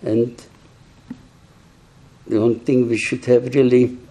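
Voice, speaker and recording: An elderly man in his eighties or nineties giving a personal testimony to young people. He talks slowly and reflectively, in halting phrases with frequent restarts, and says he is hard of hearing. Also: mid-range voice, little pitch movement, warm tone. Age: older, 80s or 90s.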